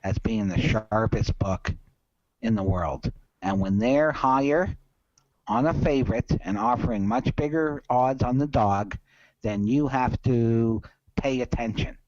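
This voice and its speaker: Male sports betting podcast host, mid-pitched, emphatic and opinionated, slightly lo-fi sound. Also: unhurried, a little mellow, no room sound. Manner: emphatic, opinionated, repeats points for stress. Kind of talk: casual betting commentary.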